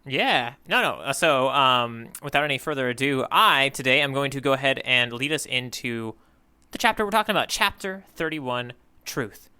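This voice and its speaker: Bright, clear-voiced male podcast co-host. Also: medium pitch, lively intonation, moderate pace. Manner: rambling, hesitant with many fillers, laughs easily. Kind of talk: casual chat recapping a manga chapter.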